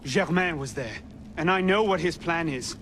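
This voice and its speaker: An actor playing an impulsive, desperate young assassin in a dramatic game cutscene, pleading and defiant. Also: male voice, fairly high pitch, moderate pace, fairly bright tone, a little hiss or hum, natural voice. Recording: music behind the voice.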